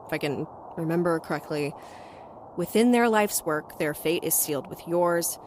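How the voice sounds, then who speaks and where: A woman's voice: lowish voice, background noise, close to an everyday voice. An actress playing a tough, sardonic young woman who talks bluntly and narrates her own story in an audio drama. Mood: grave, careful. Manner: wry, blunt, foul-mouthed. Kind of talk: audio drama dialogue and first-person narration. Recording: music bed under the voice.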